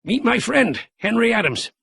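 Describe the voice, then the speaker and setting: A man voicing a spry, excitable old man with a high, shrill, puffing voice. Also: normal speed, creaky, husky, dry recording, studio quality, exaggerated voice. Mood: crowing gleeful.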